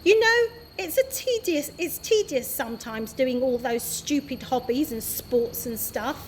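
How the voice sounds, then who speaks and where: A woman's voice: sits high, expressive, measured pace, slight background noise. A woman doing a bratty little girl. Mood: excited. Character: spoiled, blunt little sister girl.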